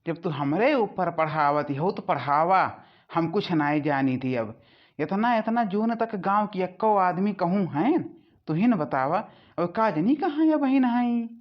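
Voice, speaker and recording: A stubborn old village father-in-law in a cartoon comedy, voiced by a woman pitched low. He grumbles dismissively in an Awadhi accent. The sound is slightly dull. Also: expressive, unhurried. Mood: grumbling. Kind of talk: animated comedy dialogue.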